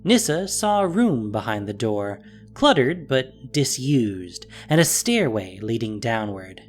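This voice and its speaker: A man's voice: medium pitch, very animated, unhurried. A man reading scripted story narration as the narrator of an audio drama. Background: music.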